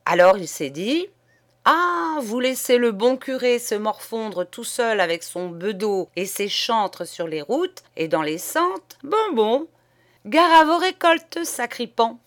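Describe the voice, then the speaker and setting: A woman's voice: a little high, lively intonation, takes it slowly, voice pushed up. A woman voicing an agitated old male parish priest who preaches hellfire and sputters with outrage. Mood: preaching.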